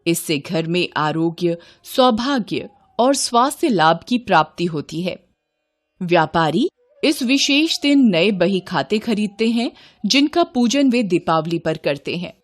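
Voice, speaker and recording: A woman reading a scripted devotional folk tale in a measured, expressive storytelling manner. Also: on the low side. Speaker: storyteller, narrator.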